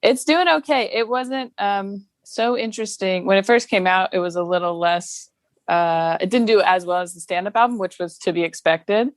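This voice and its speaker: A woman's voice: mid-range voice, normal speed, dry recording. A woman comedian chatting casually as a podcast guest over a video call, laughing often between her points. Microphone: remote video call mic.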